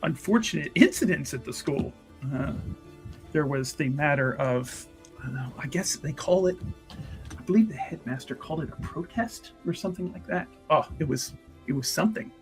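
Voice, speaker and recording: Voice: mid-range voice, expressive, normal speed, natural voice, rough recording. A player voicing a polite, hesitant female church investigator who repeats words while probing, in a tabletop roleplay. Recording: music behind the voice. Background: music.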